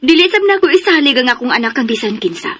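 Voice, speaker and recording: Firm, high-voiced protective mother. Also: very animated, fast talker, fairly bright tone, faint music behind. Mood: firm, disapproving. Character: firm, polite, protective mother of grown daughter. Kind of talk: radio drama acting.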